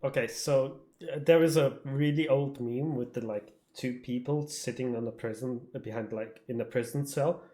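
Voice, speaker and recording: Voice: medium pitch, normal speed, hardly any pauses, dark tone. A game streamer giving casual, joking, sweary reaction commentary over gameplay.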